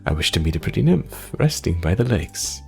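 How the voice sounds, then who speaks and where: A man voicing an excitable, anxious young forest dweller who longs to be a bard, rambling and self-deprecating, in a fantasy game. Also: low-pitched, brisk, gravelly, soft background music, heavily acted. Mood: wistful, yearning.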